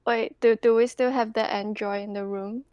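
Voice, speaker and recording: A woman's voice: on the high side, steady tone, smooth, no room sound, highs cut off. A woman playing a tabletop roleplaying game, speaking hesitantly as she improvises dialogue and narration. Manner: hesitant, halting delivery.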